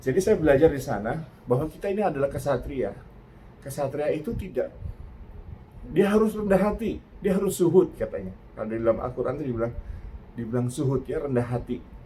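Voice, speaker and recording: An older Indonesian man giving a casual reaction talk, reflective and earnest, pausing and restarting often. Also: medium pitch, animated, warm tone, slight background noise, rough recording. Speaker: host.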